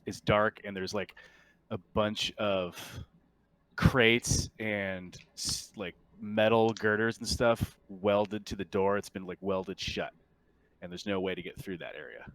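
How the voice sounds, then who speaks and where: A man with a fairly low voice narrates a tabletop roleplaying game as its game master. His delivery is casual and slightly halting as he describes the scene and puts choices to the players. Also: fairly even intonation, a bit bright.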